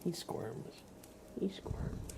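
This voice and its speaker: A man softly whispering as an ASMR livestreamer, chatting affectionately as if to a pet. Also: drawn-out words, very noisy. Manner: affectionate baby-talk to a pet cat.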